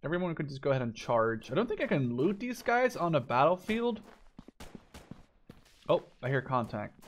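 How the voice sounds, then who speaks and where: Higher-voiced man, gaming commentary. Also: very animated, normal speed. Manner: informal, sweary. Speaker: gaming video creator. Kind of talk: casual let's play commentary.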